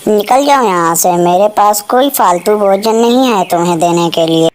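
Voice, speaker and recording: A woman's voice: medium pitch, normal speed, a little hiss or hum, natural voice. Rude male crow, cartoon, scolds beggars; woman performer. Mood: irritated. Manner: scolding, dismissive.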